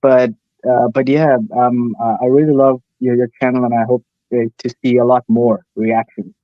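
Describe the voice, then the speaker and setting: A man's voice: medium pitch, little pitch movement, normal speed, no echo, dull audio. Hesitant young man on a call. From Gulf and India. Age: 20s. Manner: hesitant, repeats words and restarts often. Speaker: caller. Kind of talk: casual chat.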